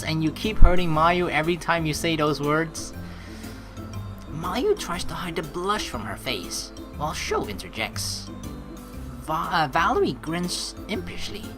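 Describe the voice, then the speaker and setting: A woman hosting a let's play, reading story narration aloud and reacting with animated, excitable commentary. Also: on the low side.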